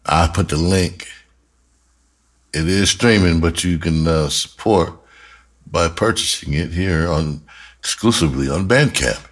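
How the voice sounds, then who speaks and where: A man talking casually as a vlog host and musician, telling stories in a halting way with pauses to think. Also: low voice, a bit bright. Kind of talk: casual storytelling to camera.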